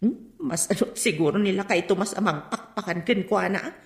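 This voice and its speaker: An actress playing a teasing, gossipy shopkeeper in a radio drama. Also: female voice, mid-range voice, a little fast, natural voice. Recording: echoey room sound.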